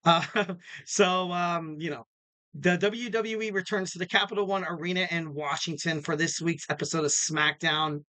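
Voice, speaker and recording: Upbeat, bright-voiced podcast host man. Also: a little high, steady tone, normal speed, no echo. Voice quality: slightly raspy. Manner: rambling, filler-heavy, upbeat promo talk. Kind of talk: casual solo wrestling preview.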